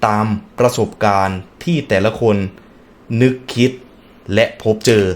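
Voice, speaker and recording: A man's voice: fairly low pitch, fairly even intonation, measured pace. A man hosting a reaction video, reading text aloud and breaking off into casual musing remarks and hums.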